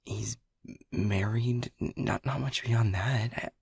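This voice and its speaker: A man's voice: mid-range voice, measured pace, vocal fry, a little mellow, breathy edge, dry recording, clean studio sound, full character voice. A man playing a stammering, whispering lab technician. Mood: urgent whisper. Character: anxious young male lab technician, protective. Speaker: audio roleplay voice actor. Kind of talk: audio roleplay acting.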